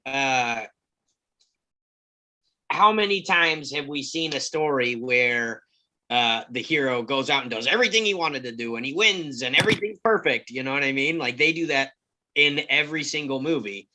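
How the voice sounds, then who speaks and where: A man's voice: mid-range voice, bright, forceful tone, low-quality recording. A man in a casual group discussion on a video call, rambling and thinking aloud with lots of fillers. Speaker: table read cast member.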